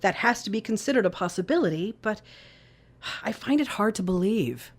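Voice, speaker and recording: A woman's voice: mid-range voice, animated, normal speed. An actress playing an authoritative, probing female intelligence chief in an audio drama, direct and commanding. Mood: grave, confiding.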